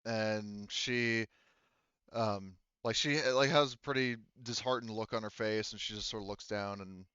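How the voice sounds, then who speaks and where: Man, game master, casual halting narration, resonant voice, very dry close sound. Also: lowish voice, sharp tone, clean tone, no echo, highs cut off. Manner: halting, thinking aloud. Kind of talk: casual improvised narration of a tabletop sci-fi roleplaying game.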